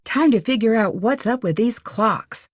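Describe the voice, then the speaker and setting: An inquisitive young female detective talks to herself through a mystery game. Her voice sits fairly high and moves in very lively contours, earnest and level-headed. The recording is close and dry. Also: unhurried, slightly rough, no room sound. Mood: focused, pondering. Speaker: video game protagonist. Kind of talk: game voice lines, thinking aloud.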